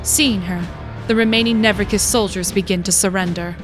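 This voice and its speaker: A woman's voice: mid-range voice, fairly even intonation, a little fast, music in the background. A woman reading scripted, dramatic narration for a fantasy story as its narrator.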